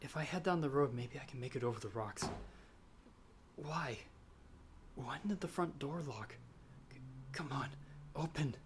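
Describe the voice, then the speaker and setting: Man acting a slow-spoken, timid young traveler. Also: mid-range voice, close to an everyday voice. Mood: panicked, pleading. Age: young adult. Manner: soft, hesitant, stammering when afraid. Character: timid young male traveler, storyteller trapped by a vampire. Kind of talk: ASMR audio roleplay acting. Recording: background storm noise.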